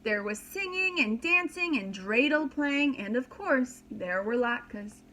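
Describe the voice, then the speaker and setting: A woman's voice: sits high, animated, takes it slowly, a bit bright, a little hiss or hum. A woman reading a children's story aloud as a preschool teacher, dramatically and expressively, with simple explanations for young listeners.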